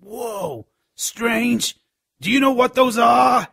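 An actor voicing a scrawny, spiteful, cowardly imp with a gravelly, raspy voice in a radio drama. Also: male voice, extremely high pitch, takes it slowly, noisy background, heavily acted. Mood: indignant, alarmed. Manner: snide, resentful, excitable. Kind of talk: radio drama character acting.

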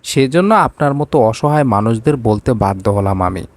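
A man's voice: mid-range voice, animated, moderate pace, a little mellow, dry recording, lightly acted. A man voicing a curt, commanding rich boss in a storybook romance, slipping into English. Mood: cold, irritated. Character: cold, domineering rich male boss in a romance.

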